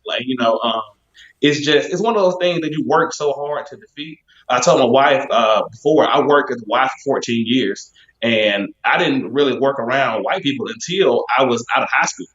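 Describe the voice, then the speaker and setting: A man's voice: mid-range voice, moderate pace. A man from Birmingham, Alabama, hosting a casual talk and telling stories animatedly. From west side of Birmingham, Alabama. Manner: animated storyteller, acts out quoted voices. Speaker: podcast host. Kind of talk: casual chat. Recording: dull lo-fi sound.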